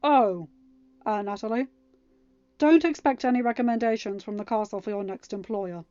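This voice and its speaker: A woman's voice: on the high side, normal speed, warm tone, no echo, dull audio, close to an everyday voice. A woman voicing a kind but firm young king in a storybook, with a courteous, decisive and formal manner. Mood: stern.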